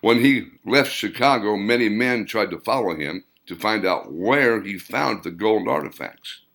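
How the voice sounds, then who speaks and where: A man reading scripted audiobook fiction in a deliberate storytelling manner, with dramatic emphasis. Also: fairly low pitch, expressive, vocal fry, fairly bright tone. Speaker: author reading own novel.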